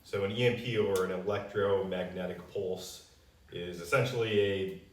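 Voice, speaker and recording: Low-voiced American man, echoey room. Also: fairly even intonation, normal speed. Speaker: company representative answering customer questions. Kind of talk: casual explanatory Q&A. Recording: roomy echo, distant microphone.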